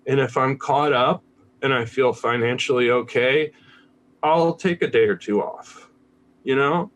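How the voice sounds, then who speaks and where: A man's voice: medium pitch, measured pace, a bit bright. An American man talking casually as a podcast guest, candid and laid-back, swearing freely. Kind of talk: casual conversational interview.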